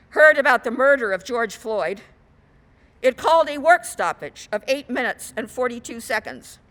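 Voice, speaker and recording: Woman, guest minister, sermon delivery, fairly high pitch, slow pace, measured rhetorical phrasing. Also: a bit bright.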